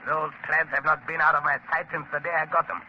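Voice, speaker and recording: An actor playing a ruthless, smugly cunning saboteur boss in theatrical 1930s radio drama style. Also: male voice, on the high side, level tone, quick pace, natural voice. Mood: smugly confident. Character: ruthless, commanding male saboteur spy chief, smugly cunning. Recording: muffled, noisy 1939 radio broadcast.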